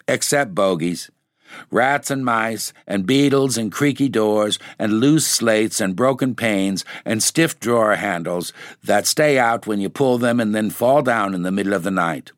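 A man's voice: animated, unhurried, dry recording, clean studio sound. A man reading aloud, voicing a superstitious old charwoman with a blunt, emphatic country manner. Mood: insistent. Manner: emphatic, dialect-heavy, rising pitch.

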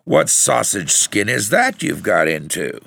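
A man voicing an excitable, scolding mother in an audiobook story, exclaiming. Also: fairly low pitch, a little slow, vocal fry, no echo, clean studio sound, voice pushed up, heavily acted. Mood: shocked, indignant.

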